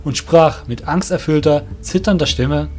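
A man's voice: on the high side, normal speed, over music. A man narrating a scripted audio drama as its storyteller.

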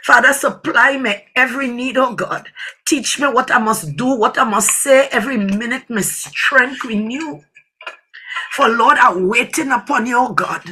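A woman with a husky, rough-edged voice prays fervently and spontaneously, pleading and calling on God again and again. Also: on the high side, measured pace, sharp tone, poor audio. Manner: pleading, repeatedly invoking God. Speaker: person leading prayer.

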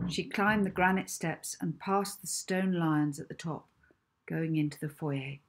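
A middle-aged woman reading a children's story aloud as its author, in a measured, deliberate way. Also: deep voice, husky. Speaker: author reading own book. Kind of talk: children's audiobook narration.

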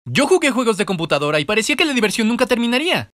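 Fast, high-pitched expressive male storyteller. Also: noisy background. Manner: expressive, comedic, self-deprecating. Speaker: narrator of an animated storytime video. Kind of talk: animated storytime narration, fan dub.

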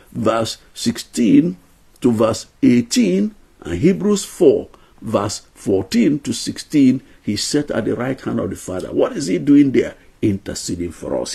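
Older man teaching, husky gravelly voice. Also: medium pitch, mellow. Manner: earnest, emphatic, counts out numbered points. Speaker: Bible teacher. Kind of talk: teaching a Bible lesson to camera. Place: small indoor room at home.

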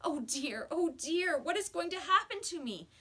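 A woman's voice: very high voice, animated, normal speed, a bit bright, voice pushed up. A woman voicing an anxious, miserable young schoolboy from a storybook in a plaintive, worried way. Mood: miserable, panicked.

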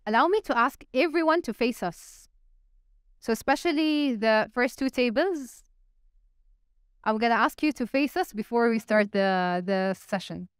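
A young woman moderating a live panel on stage with a handheld mic, engaging the audience with quick polls. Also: fairly high pitch, lively intonation, smooth, no room sound, studio quality. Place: stage in a large event hall.

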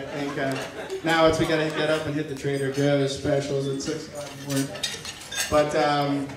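A young man giving an informal, joking best man's wedding speech in a halting, affectionate, teasing way over a PA in a tent. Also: fairly high pitch. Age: young adult. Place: large wedding reception tent at night. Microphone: handheld PA mic, picked up by distant camera. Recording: distant echoey PA sound, noisy guest-filled tent. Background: noisy wedding guests.

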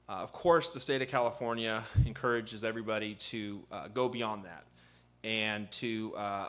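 A man in his thirties, an assistant city attorney, giving a conversational ethics training in a city meeting room at a desk microphone, with many fillers. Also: mid-range voice. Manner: says he has a loud voice. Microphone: desk microphone on a stand.

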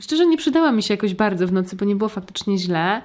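A woman's voice: mid-range voice, expressive, fast talker, darkish tone. A woman co-hosting a podcast, talking in a casual, conversational style. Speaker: podcast co-host. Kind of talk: casual chat.

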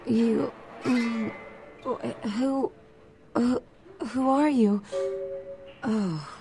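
A woman voicing a frightened, badly wounded young woman in a video game, weak with pain, halting and pleading. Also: fairly high pitch, steady tone, music in the background. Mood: dazed, frightened. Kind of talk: video game character dialogue. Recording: noisy game capture with ambience.

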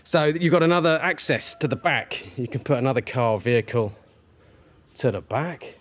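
A man's voice: medium pitch, normal speed. A man giving a casual walk-through of a house for sale, thinking aloud and trying out broken Thai phrases. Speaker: vlogger touring a house for sale. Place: inside an empty house with hard floors. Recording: echoey bare rooms.

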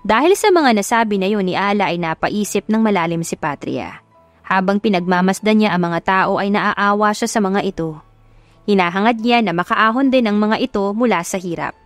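A woman's voice: mid-range voice, expressive, normal speed, no room sound. A woman narrator reading a scripted audio love story. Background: music.

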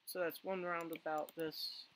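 A man with a hoarse voice he says he is losing, giving rambling casual let's play commentary full of fillers and restarts. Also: on the high side, flat delivery, measured pace, a bit bright, a little hiss or hum. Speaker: gaming channel host.

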